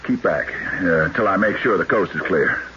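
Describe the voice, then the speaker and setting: Deep, drawling country sheriff. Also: male voice, lively intonation, normal speed. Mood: folksy, wary. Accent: American. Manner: drawling folksy rustic speech. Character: folksy, unhurried old-West country sheriff. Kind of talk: radio drama acting. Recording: muffled, noisy old radio broadcast.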